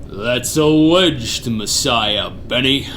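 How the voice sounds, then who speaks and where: Slow, swooping pompous gangster boss. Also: medium pitch, animated, fairly bright tone. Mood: stern, suspicious. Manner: deliberate, scolding mobster slang. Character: pompous, irritable gangster boss, curt and domineering. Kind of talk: comic radio theater acting. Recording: faintly noisy recording.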